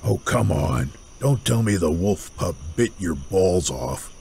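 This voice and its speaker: A man playing a brash, cocky young archer with a rough voice in a fantasy audio drama. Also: sits low, expressive, slow pace, darkish tone, a little hiss or hum, heavily acted. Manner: cocky, pushy. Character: brash, teasing, bold young male archer.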